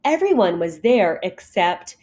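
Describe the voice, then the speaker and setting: A woman reading a picture story aloud to kindergartners in an expressive, deliberate teacher's manner. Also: medium pitch, slight background noise. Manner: animated, deliberate storytelling for young children.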